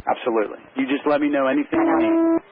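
Fast-talking male air traffic controller on radio. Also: fairly high pitch, wildly swooping pitch, narrow, tinny audio. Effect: aviation radio. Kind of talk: rapid radio instructions.

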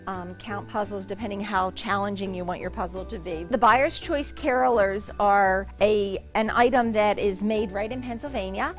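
A middle-aged woman, a shop owner, chatting enthusiastically as she gives a tour of her large indoor store, with frequent ums. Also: medium pitch. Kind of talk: guided store tour interview.

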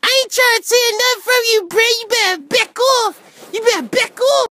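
Lady doing a brash slangy kid, super high voice, repeats stuff, always ready to throw down. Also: normal speed, background noise. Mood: combative. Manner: slangy, repetitive, combative. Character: brash loyal slangy kid sticking up for friend.

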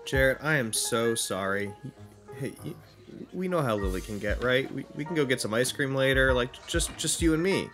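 A man playing a soft-hearted parent in an improvised role-play, speaking in a halting, placating way. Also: medium pitch, close to an everyday voice. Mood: soothing. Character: soft-hearted parent soothing a young child. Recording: music bed under the voice.

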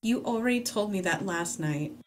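A woman's voice: medium pitch, expressive, normal speed, lightly acted. A woman voicing a prim, motherly female monster who runs a mansion, speaking in a proper, scolding tone.

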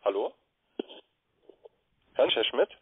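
Man, telesales caller, mid-pitched, dull phone-line sound. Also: big pitch swings, a little slow, breathy edge. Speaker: trading sales caller. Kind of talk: sales phone call. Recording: roomy, muffled lo-fi phone line.

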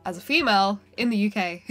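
A woman's voice: mid-range voice, animated, fairly quick, over music. A woman in her twenties with a cold and a nasal voice, chatting to camera in her bedroom, rambling with lots of fillers and self-corrections. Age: 20s. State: has a cold, sounds nasal. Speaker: singer-songwriter and vlogger. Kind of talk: casual talking-to-camera vlog. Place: bedroom indoors.

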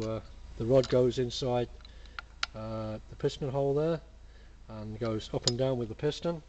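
A man talks through a hands-on workshop project in an easygoing, plain-spoken way, with a mellow, relaxed voice. Faint background noise sits under his voice, picked up by a camera mic at a cluttered workbench. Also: medium pitch, lo-fi sound. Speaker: hobby engineer demonstrating a build. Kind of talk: casual workshop how-to. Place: cluttered home workshop bench.

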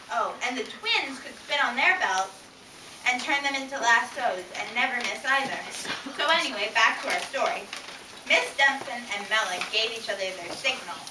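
A woman narrating a western tall tale aloud as a live storyteller for a puppet play. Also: a little high. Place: school or library room with audience. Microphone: far camera. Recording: roomy, distant camera recording with loud room noise. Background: audience and room noise.

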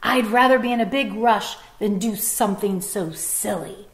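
A woman's voice: mid-range voice, moderate pace, roomy sound, lightly acted. A woman voicing a rude male fox who is always in a hurry. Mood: dismissive.

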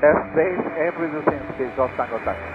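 A man's voice: a little high, a little fast. A man speaking as a pilot, giving clipped readbacks over aviation radio. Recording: muffled cockpit radio transmission, music behind. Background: background music.

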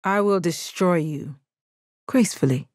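Video game role: stoic alien gem warrior woman played by an actress. Lowish register, deadpan, confident, kind, dry close recording. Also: dry recording, natural voice. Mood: stern, dry. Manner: measured, deadpan, formal phrasing. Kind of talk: video game character voice lines.

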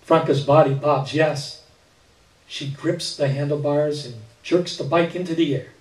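A man's voice: on the high side, a little slow. Older man reading fiction, echoey room. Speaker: reader at a literary event. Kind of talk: literary short story read aloud. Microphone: stand mic with speakers, recorded by far camera. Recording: echoey room, distant camera pickup.

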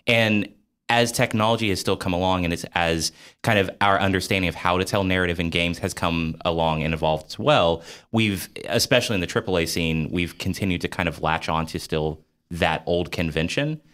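A man's voice: low voice, a little fast, a bit bright, clean tone. A man hosting a casual podcast discussion about games, talking in a hesitant, wordy, circling way. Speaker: podcast host.

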